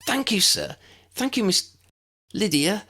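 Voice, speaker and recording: A man plays a shy young schoolboy wizard in a storybook. He sounds unsure and polite, muttering a little, with a husky edge. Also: high voice, animated, takes it slowly, bright, forceful tone, pitched above the performer's own voice, exaggerated voice. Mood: grateful. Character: shy, dejected schoolboy wizard lacking confidence.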